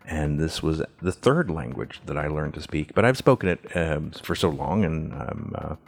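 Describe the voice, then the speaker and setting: Very deep-voiced man co-hosting a podcast. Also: lively intonation, normal speed, gravelly, breathy edge. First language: Swedish. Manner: playful, mock-official opening, many fillers. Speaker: podcast co-host. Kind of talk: casual podcast chat.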